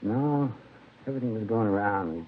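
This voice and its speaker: An actor playing a hungover American laborer in a 1950s radio crime drama, slangy, aggrieved and rambling. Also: male voice, on the low side, animated, fairly quick, phone-quality sound. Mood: groggy, recollecting. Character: grumbling, hungover male laborer in jail. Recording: thin, faintly noisy vintage radio recording.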